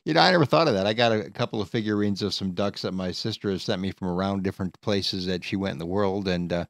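A man hosting a radio talk show, relaxed and joking in a casual interview with a caller. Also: deep voice, fast, vocal fry, no room sound, studio quality. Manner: relaxed, joking, laughs easily. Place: radio studio. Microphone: studio microphone.

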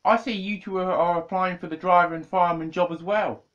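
A man's voice: sits high, fairly even intonation, brisk, fairly bright tone, highs cut off, voice pushed up. A man voicing Bradley, a fussy young man who loves trains and hates waiting, in a raised, whiny voice. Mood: exasperated.

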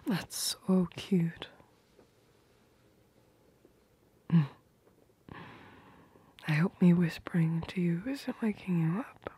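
A woman's voice: fairly low pitch, a little mellow, heavily acted. A woman playing a tender, protective girlfriend in a romantic ASMR roleplay, whispering intimately and affectionately, very close to the microphone. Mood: tender, wistful. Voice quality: breathy, whispery. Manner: slow, intimate, affectionate murmuring. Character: tender, protective, doting girlfriend murmuring at bedtime.

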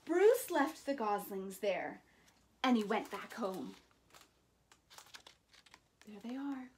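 A woman in her thirties reading a children's picture book aloud in an animated, deliberate way with dramatic emphasis. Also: medium pitch, slight background noise. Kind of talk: expressive children's story reading.

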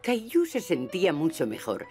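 An actress narrating a children's cartoon as a gentle storyteller, with a Castilian Spanish accent and an expressive, simple way of explaining. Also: female voice, lowish voice, moderate pace. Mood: gentle, pleased. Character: gentle storyteller narrating a small boy's day. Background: music.

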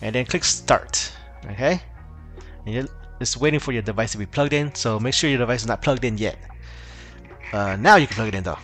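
A man's voice: medium pitch, a little fast, fairly bright tone, faint music behind. Casual man talking through a tech fix. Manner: loose, rambling, many fillers and restarts. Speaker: tech tutorial host. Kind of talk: casual screen-recorded tutorial.

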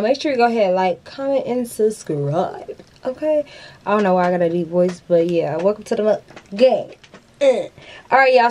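A woman's voice: a little high, a little mellow. Chatty young woman vlogging from a closet. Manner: bubbly, slangy, talks straight to viewers. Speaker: beauty vlogger host. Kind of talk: casual chatty haul vlog. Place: walk-in closet with shoe shelves, indoors. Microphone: camera in front at close range.

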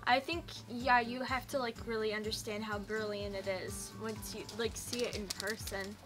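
A woman's voice: on the high side, little pitch movement, moderate pace, music in the background. A young woman chatting in a casual family travel vlog outdoors, giving short, dry, teasing replies. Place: outdoors on a patio.

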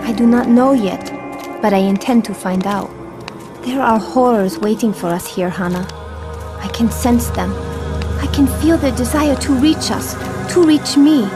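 An eerie, solemn young woman with a dark destiny, voiced by a woman, speaking calmly in a slightly high voice. Game music plays clearly behind her. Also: a little slow, close to an everyday voice. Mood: quiet. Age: young adult. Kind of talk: video game cutscene acting.

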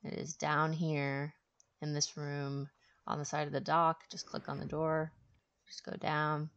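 A woman with a low-pitched voice hosts a video game walkthrough. She talks informally and explains things as she goes, in casual commentary. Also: little pitch movement, measured pace, smooth, dull audio.

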